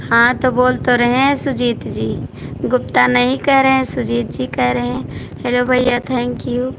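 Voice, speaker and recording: A hoarse woman with a deeply gravelly, fry-laden voice chats slowly and flatly with speech. She reads out comments and keeps nudging viewers by name. The sound is dull and lo-fi, with noticeable street noise and passing vehicles behind her. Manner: chatty, repeatedly coaxing viewers by name to comment. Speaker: folk singer hosting a live stream. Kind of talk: casual live-stream chat reading out viewer comments.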